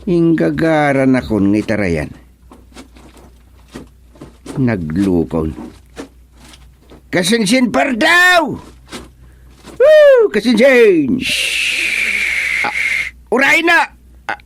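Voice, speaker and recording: Excitable Ilocano-accented country hero for radio comedy, highish, theatrical, wildly varied intonation. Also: male voice, extremely slow, pauses often, slight background noise, exaggerated voice. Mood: alarmed. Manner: exclamatory, theatrical. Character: excitable, bewildered country man, comic hero. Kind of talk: radio comedy drama acting.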